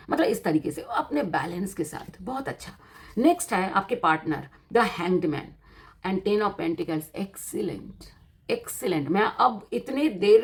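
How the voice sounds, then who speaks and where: A woman with an Indian accent and a slightly worn voice gives an informal tarot reading, explaining each point in a teacherly, encouraging way and often repeating and rephrasing it. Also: medium pitch. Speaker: tarot card reader and host.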